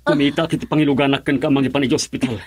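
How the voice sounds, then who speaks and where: A man's voice: mid-range voice, little pitch movement, rapid-fire, close to an everyday voice. A man acting in an emotional radio drama as a devoted, anguished husband and father. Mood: alarmed.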